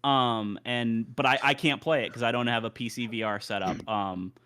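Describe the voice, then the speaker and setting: A man's voice: mid-range voice, fairly even intonation, normal speed, sharp tone, smooth. A man giving casual, opinionated takes on games in an emphatic way as a show panelist. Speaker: panelist on a gaming talk show.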